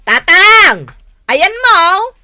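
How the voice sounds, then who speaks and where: A woman with an Ilocano accent playing a lively, plain-spoken grown daughter and elder sister in a radio comedy drama. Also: very high voice, extremely slow, no echo, full character voice. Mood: exasperated. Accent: Ilocano, northern Philippines.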